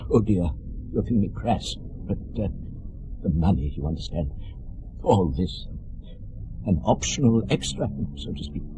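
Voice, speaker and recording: An actor playing a genteel old rogue in a radio drama, hesitant, evasive and oozing mock politeness. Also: male voice, sits low, animated, a little slow, pauses often, mellow, slight background noise, rough recording. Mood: oily, hesitant. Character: oily, genteel old male rogue selling secrets.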